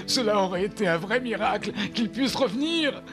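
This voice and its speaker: A man voicing a gloomy but caring village doctor in a dubbed animated film, grave and emphatic. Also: high voice, fairly quick. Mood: resigned. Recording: music behind the voice.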